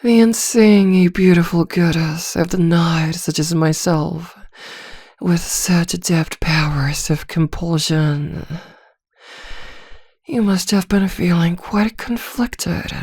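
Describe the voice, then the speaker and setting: A woman playing an arrogant, seductive vampire villainess in an intimate ASMR roleplay, soft-voiced and teasing, with a commanding, hypnotic manner and frequent drops toward a whisper. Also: lowish voice, slow, no room sound, clean studio sound. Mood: smug teasing. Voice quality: soft, often dropping into whispers.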